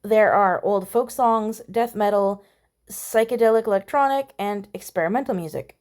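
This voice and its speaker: A woman's voice: mid-range voice, moderate pace, a little mellow. A woman giving casual game commentary, chatty and playful, reading on-screen text aloud. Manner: chatty, mild playful exclamations. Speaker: gamer voicing a playthrough.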